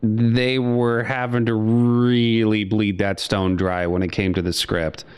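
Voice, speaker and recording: A man's voice: on the low side, slow pace, clear, resonant tone. A young man co-hosting a podcast, chatting casually and sarcastically about a movie. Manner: animated, sarcastic, mimics characters in goofy voices. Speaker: podcast co-host. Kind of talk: casual chat, movie review.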